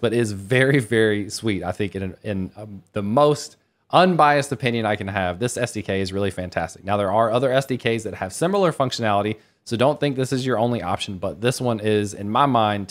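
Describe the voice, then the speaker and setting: Male conference speaker, mid-pitched, conversational and enthusiastic, explaining technical material.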